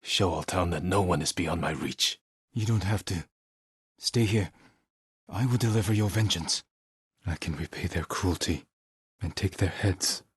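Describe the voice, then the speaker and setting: A man playing a stoic, honorable samurai warrior in a video game, husky and measured, with terse, solemn delivery. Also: deep voice, dry recording, studio quality, heavily acted. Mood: cold, determined. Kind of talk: video game dramatic voice acting.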